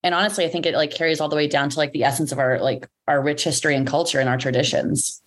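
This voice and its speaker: A woman's voice: deep voice, fairly even intonation, fast. A woman from the US Southwest, a native Greek speaker, speaking earnestly as a podcast guest with frequent "like". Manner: earnest, passionate, filler "like". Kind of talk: casual interview chat.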